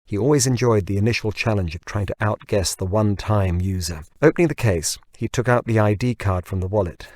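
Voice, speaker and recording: A man narrates a novel in a fairly low voice. It is scripted storytelling for an audiobook. The recording is dry, with no room sound. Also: brisk, hardly any pauses, darkish tone, no echo.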